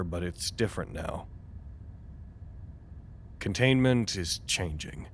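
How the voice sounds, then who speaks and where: Audio drama narrator: weary facility staffer, very low register, measured and solemn, faint noise behind. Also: male voice. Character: weary, reflective male staff member of a secretive facility.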